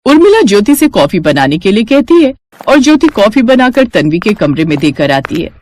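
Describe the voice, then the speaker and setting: A woman's voice: medium pitch, sing-song, brisk, darkish tone. A woman narrating a scripted family moral story as a storyteller.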